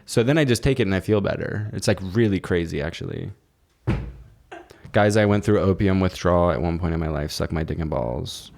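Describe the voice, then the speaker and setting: A man co-hosting a podcast in casual comedic chat, deadpan and crude in his joking. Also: sits low, brisk, creaky. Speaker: podcast co-host.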